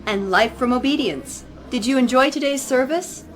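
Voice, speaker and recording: An actress playing a stern, zealous religious leader in an audio drama, speaking in a formal, commanding, preaching way. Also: female voice, high-pitched, animated, normal speed. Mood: pious, formal. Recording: music playing behind the voice.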